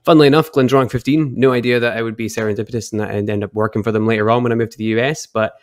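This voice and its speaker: A young man from Edinburgh with a Scottish accent, chatting and telling stories as a podcast guest over a video call, relaxed and quick to laugh. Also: mid-range voice, no room sound, clean studio sound. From Scotland, Edinburgh. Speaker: guest, whisky brand ambassador. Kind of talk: casual storytelling chat.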